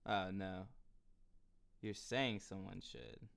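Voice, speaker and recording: A man live streaming a building game, chatting casually with his viewers and reacting with excited exclamations. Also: on the low side, slow, vocal fry. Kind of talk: casual live-stream chat while gaming.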